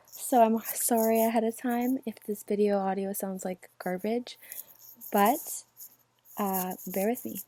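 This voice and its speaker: A young woman, hoarse and sick with a sore throat, calmly talking through a beauty tutorial as a voiceover. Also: mid-range voice, unhurried. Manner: calm step-by-step instruction. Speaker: beauty channel host. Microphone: voiceover mic.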